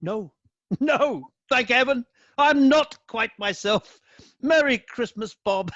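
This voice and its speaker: A man playing a reformed elderly miser in a radio play, an effusive and excitable old gentleman with a husky voice. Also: very high voice, slow pace, no room sound, lo-fi sound, exaggerated voice. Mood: jubilant. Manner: effusive, chuckling, stammering with excitement.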